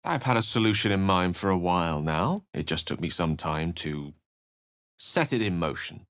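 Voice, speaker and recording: A man playing an eloquent, courteous wizard in a video game, articulate and literary in his measured delivery. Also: low-pitched, animated, a bit bright, clear, resonant tone, dry recording. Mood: wry, courteous. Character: eloquent, courteous, bookish male human wizard, a wry adventurer. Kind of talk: video game character lines and narration.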